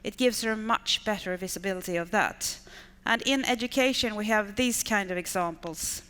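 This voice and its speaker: A woman's voice: mid-range voice, normal speed, a bit bright, clean tone. A Swedish woman in her fifties, a conference speaker whose first language is Swedish, giving an enthusiastic talk in English and piling up repeated words like "really, really.". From Sweden. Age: 50s. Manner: enthusiastic, stacks repeated intensifiers. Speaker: conference speaker, education manager. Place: large conference hall. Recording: reverberant hall with long decay.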